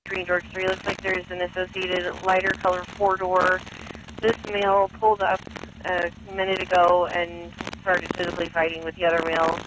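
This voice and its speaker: A man's voice: high voice, completely flat delivery, normal speed. A man working as a police dispatcher, speaking over the radio in a procedural, matter-of-fact way. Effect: police radio transmission. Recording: police radio with clear background noise.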